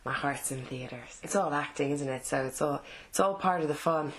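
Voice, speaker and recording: Low, gravelly Dublin Irish woman. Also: a bit bright. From Ireland. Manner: halting, many fillers and restarts, laughs between thoughts. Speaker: actress and interview guest. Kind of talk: casual interview answers.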